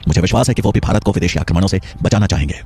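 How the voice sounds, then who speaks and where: Man acting a proud, husky scholar-sage. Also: sits low, rapid-fire, warm tone. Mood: resolute. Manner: earnest, formal, declamatory. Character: proud, principled young scholar, later a resolute teacher.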